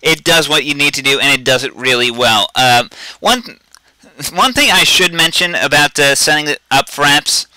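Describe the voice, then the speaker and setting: A man with a very bright, sharp, forceful tone walks viewers through software in a loose, rambling tutorial. He is blunt and keeps breaking off and restarting his sentences. His loud voice sits on a dry, close microphone. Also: on the high side, animated, moderate pace, smooth, dry recording, rough recording. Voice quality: self-described loud voice. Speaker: video tutorial host. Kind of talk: casual screen-recorded software tutorial.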